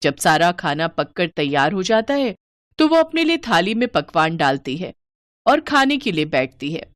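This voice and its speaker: A woman's voice: lowish voice, big pitch swings, moderate pace, dry recording. A woman narrating a scripted moral story as a storyteller.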